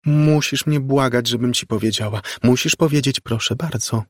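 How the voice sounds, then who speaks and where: A man's voice: medium pitch, normal speed, no room sound, clean studio sound, pitched above the performer's own voice, lightly acted. A man voicing an eerie, insolent little ghost girl who talks in a cool, scolding, condescending way in an audiobook story.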